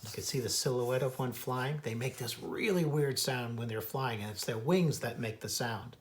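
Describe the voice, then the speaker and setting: A man's voice: medium pitch, normal speed. American man, children's nature read-aloud, informal asides, author-naturalist storytelling. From United States. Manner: imitates bird calls in a singsong.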